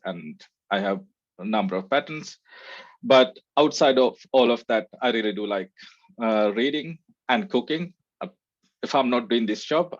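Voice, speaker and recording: A man's voice: mid-range voice, no room sound. A research scientist from Sri Lanka gives a conference talk and fills it with "uh". His voice comes through dull and dry, like audio from an online call. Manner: frequent "uh" fillers, relaxed. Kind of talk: conference presentation.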